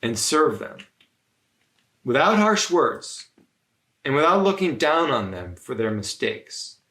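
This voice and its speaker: A man reading the part of an earnest, humble saint who teaches his friends in a storybook, speaking deliberately and urging them on. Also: medium pitch, big pitch swings, bright, forceful tone, full character voice.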